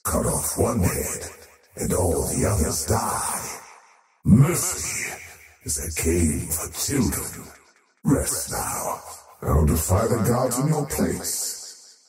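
Casting: faceless cosmic usurper, game villain; menacing, slow, wildly swooping delivery. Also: male voice, deep voice, very animated, heavily acted. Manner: haughty, menacing, slow grandiose declamation. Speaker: video game character voice. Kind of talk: theatrical game villain voice lines. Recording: heavily echoing, distant-sounding, over music. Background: music.

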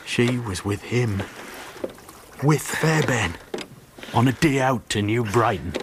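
An actor playing a guilt-ridden, jealous ship's steward making a bitter, haunted confession in a radio drama. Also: male voice, mid-range voice, expressive, takes it slowly, background noise. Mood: bitter, tormented.

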